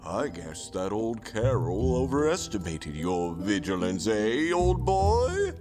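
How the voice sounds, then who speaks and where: Gloating villain, audio drama, very slow, theatrical swooping pitch, pompous, music underneath. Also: male voice, big pitch swings. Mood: gloating, jolly. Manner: pompous, drawn-out villainous monologue. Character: gloating male shape-shifting criminal mastermind, theatrical, posing as Santa.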